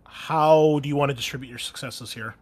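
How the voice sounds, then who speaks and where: A man's voice: medium pitch, a little fast. A man narrating a tabletop roleplaying game as game master, conversational and improvising rulings as he goes.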